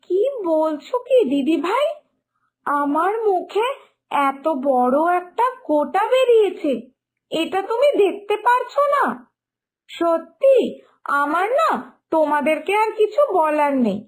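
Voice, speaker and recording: A woman's voice: very high-pitched, very animated, takes it slowly, full character voice. A woman voicing a sulky young sister-in-law in a cartoon story, complaining in a pouting, dramatic way. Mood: sulky, whining. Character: whiny, sulky young sister-in-law fretting over her looks. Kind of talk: Bengali animated moral story dialogue. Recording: music behind the voice.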